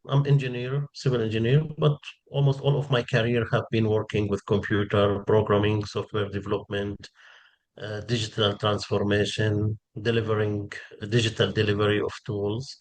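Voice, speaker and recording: Male interview guest, forties, Syrian Arabic accent, lowish register, explanatory, searches for phrasing with repeated words. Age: 40s. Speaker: interview guest, engineering expert.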